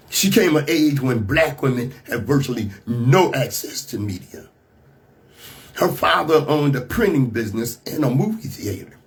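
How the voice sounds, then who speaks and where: A man with an American accent and a slightly rough voice, hosting a radio talk show with emphatic, rhetorical commentary. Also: mid-range voice. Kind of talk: radio monologue mixing scripted commentary and casual asides.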